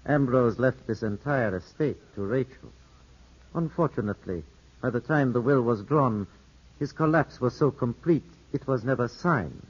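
Husky, sly gentleman. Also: mid-range voice, animated, normal speed. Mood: courteous, insinuating. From Italy. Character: suave, insinuating Italian gentleman, polite and sly. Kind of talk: 1950s radio drama acting. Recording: dull 1950s radio broadcast.

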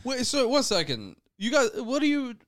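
A man, co-hosting a podcast, ranting in animated, sweary banter. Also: very high-pitched, moderate pace. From Australia. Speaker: podcast co-host. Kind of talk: casual banter.

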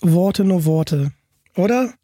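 A man's voice: sits high, lively intonation, slow pace, warm tone, no echo, clean studio sound. A man hosting a podcast in a casual, conversational style. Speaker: podcast host.

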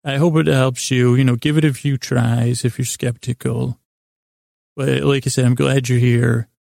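Man for sleep podcast: flat, drowsy-dark delivery, rambling and stammering, trailing off mid-thought. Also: medium pitch, creaky, no room sound, clean studio sound. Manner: halting, stammering, repeats and trails off. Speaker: host of a bedtime sleep podcast. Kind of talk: rambling, meandering bedtime monologue.

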